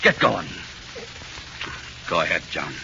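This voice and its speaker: An actor playing a hard, menacing American police interrogator in a 1940s radio drama, gruff and bullying. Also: male voice, rumbling low, lively intonation, slow pace, exaggerated voice. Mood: bullying, taunting. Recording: dull, slightly noisy old radio recording.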